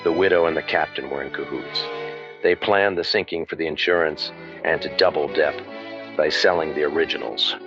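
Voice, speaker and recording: A man playing a wry, world-weary hard-boiled private detective in an old-time radio drama, with deadpan delivery. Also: rumbling low, moderate pace. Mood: matter-of-fact. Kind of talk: old-time radio noir narration and acting. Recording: muffled audio with music underneath.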